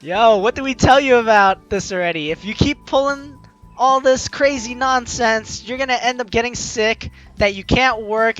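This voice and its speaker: A young adult host doing casual, playful game commentary and theatrically reading the dialogue aloud in an excitable way. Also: mid-range voice. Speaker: let's play host. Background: faint game music.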